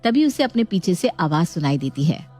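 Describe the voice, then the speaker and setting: A woman's voice: lowish voice, very animated, moderate pace, dark tone. A woman narrating a scripted moral story as a storyteller. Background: music.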